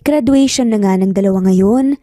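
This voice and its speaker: A woman's voice: mid-range voice, normal speed, mellow, no room sound, clean studio sound, soft background music. A woman narrating a scripted romance story as a storyteller.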